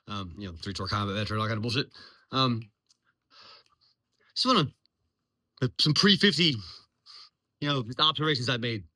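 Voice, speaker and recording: A man's voice: medium pitch, moderate pace, long pauses, pushed and bright. A man of almost fifty, talking off the cuff in a blunt, profane, rambling way full of ums. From Virginia, US. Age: 40s. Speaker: military veteran vlogger. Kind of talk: unscripted monologue.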